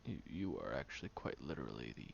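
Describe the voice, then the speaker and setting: A man playing a prickly, guarded young loner who grudgingly cares, in an intimate one-sided audio roleplay, sardonic and hesitant with breathy murmurs. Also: rumbling low, big pitch swings, fast, heavily acted. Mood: reluctantly vulnerable. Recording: muffled, lo-fi, noticeable background hiss.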